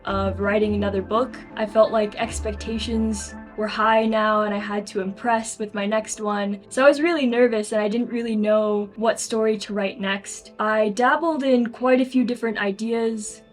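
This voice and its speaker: A woman's voice: medium pitch, steady tone, normal speed, few pauses, faint music behind. A young adult woman speaking conversationally as an author being interviewed, telling the story of her own work.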